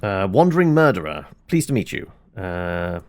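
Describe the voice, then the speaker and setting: A man's voice: deep voice, big pitch swings, moderate pace, darkish tone. A man giving casual gameplay commentary as a video host, muttering hesitantly with a wry touch. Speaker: gaming video host and player.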